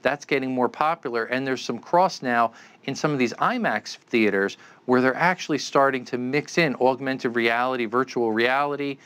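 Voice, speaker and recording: Clear-voiced man explaining as expert guest. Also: mid-range voice, moderate pace, fairly bright tone. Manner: explanatory, hesitant with stammered repeats. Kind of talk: unscripted interview answers.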